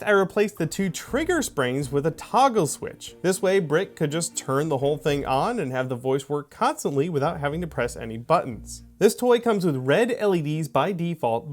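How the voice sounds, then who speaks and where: A man hosting a maker tutorial, narrating in a friendly, casual, upbeat way from a script. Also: high voice. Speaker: channel host and costume maker.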